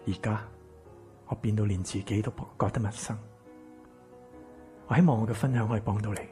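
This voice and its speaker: A man's voice: fairly low pitch, fairly even intonation, brisk, warm tone, soft background music, natural voice. A man playing a kind, wistful man with family regrets in a Cantonese radio drama. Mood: sober, reflective.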